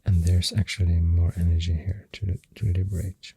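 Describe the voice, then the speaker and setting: An ASMR creator with a Swedish accent, speaking very softly and often near a whisper, thinking aloud hesitantly into a close microphone. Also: deep voice, level tone, normal speed. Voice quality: very soft, breathy, often dropping to a whisper. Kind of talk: soft-spoken ASMR, rambling science facts.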